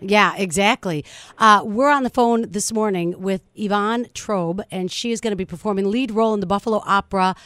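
A woman's voice: mid-range voice, brisk, a bit bright, smooth, no room sound, studio quality. A woman radio host running a morning show interview, chatty and enthusiastic. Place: radio studio.

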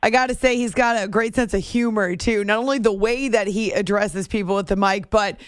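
A woman's voice: mid-range voice, steady tone, brisk. A woman hosting a sports radio show and interviewing a guest.

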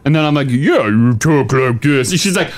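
A young adult man co-hosting a morning radio show, teasing his co-hosts and dropping in lots of quick agreements. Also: medium pitch, animated, crowd noise. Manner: teasing, frequent agreeing interjections. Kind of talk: casual morning show banter.

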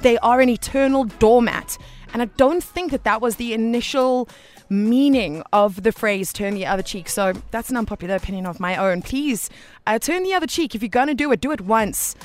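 A woman's voice: on the high side, fairly quick, soft background music. A woman hosting a radio call-in show, chatty and affectionate with callers. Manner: warm, chatty, calls listeners baby. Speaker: radio host.

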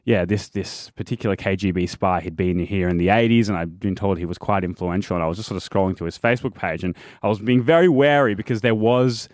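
Male guest, low register, casual and repetitive, dry studio mic. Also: fast talker, hardly any pauses, a little mellow, dry recording. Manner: chatty, restarts words, laughs between points. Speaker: guest investigative journalist. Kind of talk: casual podcast chat.

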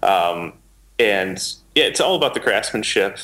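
A man's voice: on the low side, lively intonation, measured pace, bright, forceful tone. A man being interviewed as a guest, explaining things conversationally and thinking aloud. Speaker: interview guest, software maker.

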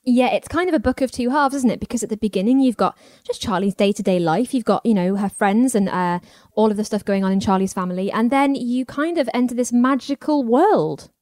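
A woman's voice: fairly high pitch, a little fast, a little mellow, dry recording, clean studio sound. A woman interviewing an author in a chatty, upbeat way. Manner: chatty, upbeat, laughs along. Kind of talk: interview questions.

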